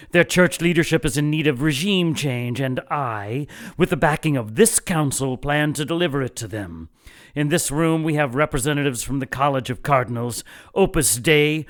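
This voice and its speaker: A man's voice: fairly high pitch, close to an everyday voice. Man playing a cold, imperious church zealot. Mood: scornful, commanding. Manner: measured, imperious, menacing. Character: cold ruthless male church zealot leading a secret council. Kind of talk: audio drama acting.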